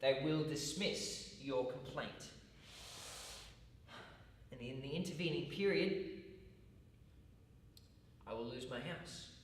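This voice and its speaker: A man acting out an earnest, self-justifying male college teacher in a stage monologue. He speaks in formal, halting, deliberate phrases at a middle pitch. The sound is distant and very echoey. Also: close to an everyday voice. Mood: firm, aggrieved. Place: large echoey room at a college. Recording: echoey hall, distant mic, faint noise.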